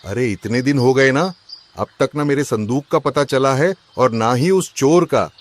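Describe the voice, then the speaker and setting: A man's voice: medium pitch, a little slow, lightly acted. A man voicing an accusing, suspicious husband in a cartoon, speaking with emphasis. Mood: angry. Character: suspicious, aggrieved husband robbed of his chest. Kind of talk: Hindi cartoon voice acting.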